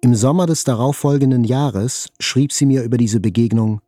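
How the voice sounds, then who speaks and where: Actor as mellow, composed male narrator. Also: mid-range voice, normal speed, dry recording, natural voice. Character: composed male patient recounting his past. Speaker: first-person narrator. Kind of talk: literary audio drama narration. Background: faint music.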